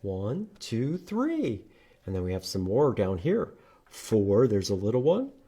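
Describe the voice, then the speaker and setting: A man's voice: lowish voice, wildly swooping pitch, takes it slowly, mellow, breathy edge. A man hosting a children's storytime, reading aloud and teaching letters in short, simple phrases with sing-song emphasis for young listeners. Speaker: children's storytime host at a library.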